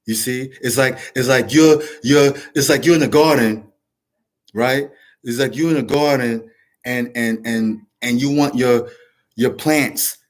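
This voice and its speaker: Man with a rambling motivational talk. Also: medium pitch. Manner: rambling, repetitive, frequent restarts. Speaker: vlog host. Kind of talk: casual motivational monologue.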